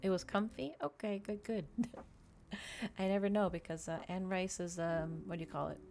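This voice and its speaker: A woman with a slightly low voice streams ASMR, moving between book reading and casual chat. There is clear noise behind her voice. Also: fairly even intonation, moderate pace, a little mellow. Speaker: VTuber streamer, reader.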